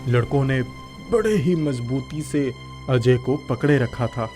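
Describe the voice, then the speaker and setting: A man tells a scripted children's story as its narrator. His voice sits at a medium pitch, and music plays clearly behind him. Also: unhurried, a little mellow.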